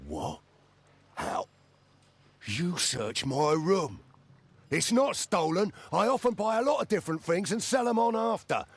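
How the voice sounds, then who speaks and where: Defensive, animated shifty man. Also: high-pitched, measured pace, pauses often, breathy edge, no room sound, exaggerated voice. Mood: defensive, indignant. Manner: defensive, emphatic. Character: defensive, shifty Victorian male suspect dealing in goods. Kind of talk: video game character acting.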